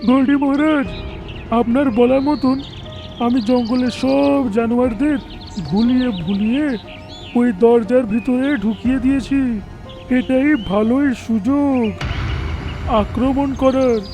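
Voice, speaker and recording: High, fawning, treacherous cartoon bear. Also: male voice, steady tone, a little slow, pauses often, dark tone, soft background music. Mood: sly, fawning. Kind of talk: cartoon voice acting.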